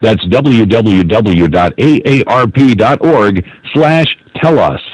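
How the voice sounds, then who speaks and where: Lively scripted male phone-line announcer. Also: lowish voice, slow pace. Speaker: automated phone system announcer. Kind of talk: scripted phone menu and hold messages. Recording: telephone line.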